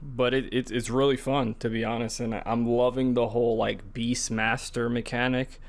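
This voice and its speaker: A man's voice: medium pitch, little pitch movement, moderate pace. A man co-hosting a podcast, chatting casually in a hesitant, loosely rambling way. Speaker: podcast co-host. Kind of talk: casual chat about video games.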